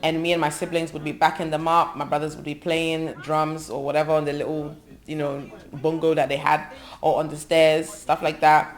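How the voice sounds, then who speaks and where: A woman's voice: sits low, flat delivery, normal speed. A woman in her thirties from England, a gospel singer, talking thoughtfully about her life in an interview, listing things with frequent ums. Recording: music playing under her voice. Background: background music.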